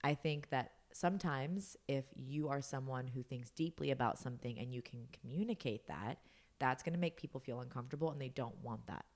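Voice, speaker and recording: A woman co-hosting a podcast with a loud voice, talking things through supportively in casual chat. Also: rumbling low, a little fast. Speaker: podcast co-host.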